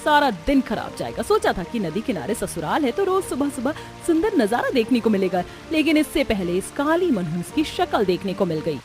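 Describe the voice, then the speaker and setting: Woman acting a haughty young woman, high voice, very lively swings, scolding, spiteful, cartoon drama. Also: fairly quick, few pauses, soft background music. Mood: annoyed. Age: young adult. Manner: sneering, snappish, scolding. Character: haughty, spiteful fair-skinned young sister. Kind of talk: Hindi cartoon story acting.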